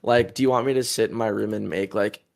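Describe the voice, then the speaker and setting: A man's voice: on the low side, fairly even intonation, quick pace, vocal fry. A man in his twenties, a musician answering an interview in a rambling, heated way, full of fillers and crude insults. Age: 20s.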